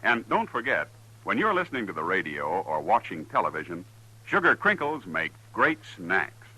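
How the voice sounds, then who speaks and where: A man's voice: sits low, very animated, moderate pace, bright, forceful tone, husky, low-quality recording. An American man announcing in a polished, salesmanlike 1950s radio style. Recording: vintage 1950s radio broadcast with music. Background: music.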